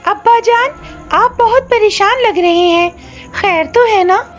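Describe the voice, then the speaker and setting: A woman's voice: very high voice, unhurried, dull audio, soft background music, pitched above the performer's own voice. A woman voicing a sweet, innocent little princess in a cartoon story, with a childlike, respectful manner. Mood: concerned. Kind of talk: Urdu cartoon storybook dialogue.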